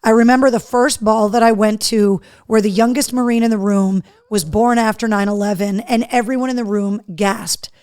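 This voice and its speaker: A woman hosting a live show in casual chat, her voice hoarse, chatty and loose. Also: medium pitch, fairly even intonation, normal speed, hardly any pauses, a little mellow. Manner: chatty, talks to her dog between remarks. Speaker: host.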